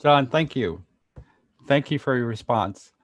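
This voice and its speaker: A man's voice: medium pitch, expressive, measured pace, no echo. A man moderating a roundtable discussion, polite and informal, with hesitant phrasing.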